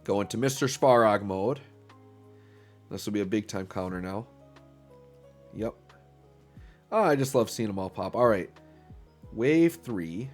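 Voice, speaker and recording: A man's voice: lowish voice, lively intonation, a little slow, pauses often, soft background music. Stuffy-voiced man narrating gameplay. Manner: upbeat, filler-heavy, talks while playing. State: slightly stuffy from an illness. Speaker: gaming channel host. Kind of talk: casual gameplay commentary.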